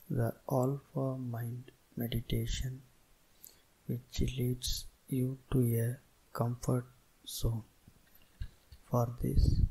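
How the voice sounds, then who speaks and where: A man guiding a meditation in a soothing, deliberate manner, speaking in short phrases with long pauses. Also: mid-range voice, dark tone, slightly rough, low-quality recording. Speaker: meditation guide. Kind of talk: guided meditation instruction.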